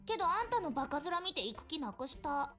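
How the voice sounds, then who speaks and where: A woman playing a short-tempered teenage delinquent schoolgirl in an anime visual novel, with rough, scornful, tomboyish speech. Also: high voice, very animated, racing. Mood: scornful, grudging. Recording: game audio with music behind.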